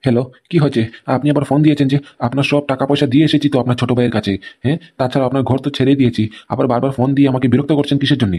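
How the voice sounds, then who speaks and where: Man voicing a fast-talking blustery old man. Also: medium pitch, level tone, dark tone, breathy edge, natural voice. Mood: irritated, defensive. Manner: emphatic, repeats tag questions. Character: blustering, touchy old father-in-law, a former tenant. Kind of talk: amateur comedy cartoon dialogue.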